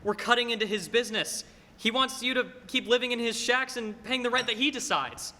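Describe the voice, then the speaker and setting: A man's voice: very high voice, brisk, bright, forceful tone, full character voice. A young man acting a flustered, earnest small-town building and loan man in a stage radio play, stammering and pleading emphatically. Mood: pleading, urgent. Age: teens or young adult. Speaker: high school student actor. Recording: reverberant hall, noisy stage recording.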